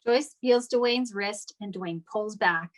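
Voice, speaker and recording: A woman reads scripted stage directions as a narrator. Her voice sits at a medium pitch. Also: slow pace.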